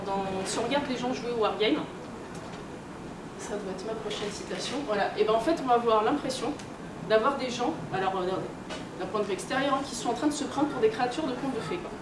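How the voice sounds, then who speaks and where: A woman's voice: on the high side, fairly quick. A woman giving an informal conference talk in a conversational, hesitant way, with many fillers. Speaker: lecturer, games researcher and editor. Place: indoor convention talk room. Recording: roomy, noisy convention room, distant mic.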